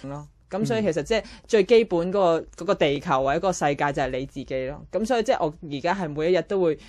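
A woman's voice: lowish voice, expressive, fast talker, darkish tone. A young woman with a Hong Kong Cantonese accent, earnestly explaining herself in a casual interview.

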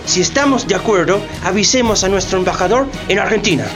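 A woman's voice: mid-range voice, expressive, quick pace, loud music behind the voice, close to an everyday voice. A woman playing a cunning, scornful English financier with an emphatic, conspiratorial manner in a satirical radio drama. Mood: gloating.

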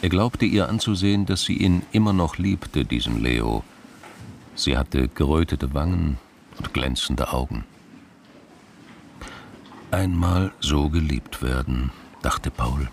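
An actor narrating a radio crime drama as a reflective storyteller, in a measured, pensive way. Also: male voice, very deep voice, vocal fry, slight background noise, heavily acted.

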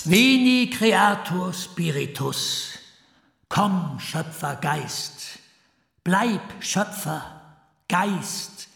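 An actor playing a solemn lecturer, declaiming in an incantatory, elevated manner in a radio play. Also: male voice, sits high, animated, drawn-out words, creaky, fairly bright tone, full character voice. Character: solemn male lecturer invoking spirit and Christendom. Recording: echoey hall with long reverb.

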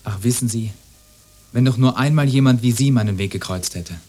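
A man acting a courteous male neighbour in a radio play, with a medium-pitched voice. He is earnest and lovelorn, dodging questions and breaking off his own sentences. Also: fairly quick, warm tone, close to an everyday voice. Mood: wistful, earnest.

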